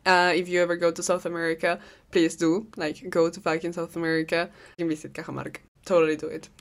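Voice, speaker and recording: Young woman, Peruvian Spanish accent, podcast host, rambling casual monologue, frequent laughs, slightly low voice. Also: level tone, fast, vocal fry. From Peru. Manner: chatty and rambling, laughs often, swears casually.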